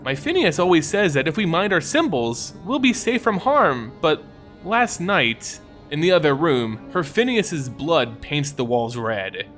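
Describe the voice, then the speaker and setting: An elderly village widow, voiced by a man, frail and bewildered in a fantasy story. The voice is high, thin and creaky, anxious with grief, over music. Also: very animated, unhurried, fairly bright tone. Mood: grieving. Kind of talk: dramatic fantasy audiobook.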